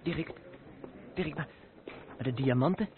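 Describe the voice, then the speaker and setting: A man plays a naive younger trucker's mate in a radio drama, fairly high in pitch and full of halting questions. The sound is phone-thin with faint noise. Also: natural voice. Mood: curious. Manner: questioning, hesitant starts. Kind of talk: radio play acting. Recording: tinny band-limited old radio play, faint noise.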